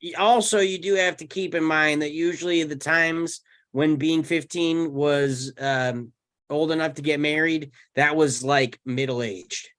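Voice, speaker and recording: A man's voice: on the high side, fairly even intonation, a little slow, a bit bright. A man in his thirties chatting casually, thinking aloud in loose, slangy phrasing. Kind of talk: casual group chat.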